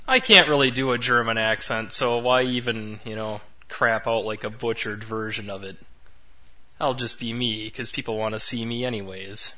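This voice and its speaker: A man's voice: mid-range voice, steady tone, moderate pace, pushed and bright. A man co-hosting a podcast in casual, rambling chat with wry, sarcastic asides. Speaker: podcast co-host.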